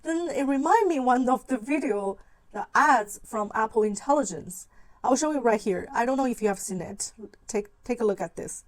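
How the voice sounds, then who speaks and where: A woman with a fairly high voice hosts a talk-to-camera video essay. She is a non-native English speaker with an informal, conversational delivery, voicing her own thoughts as she explains. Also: lively intonation, normal speed, rough recording. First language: not English. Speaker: video channel host.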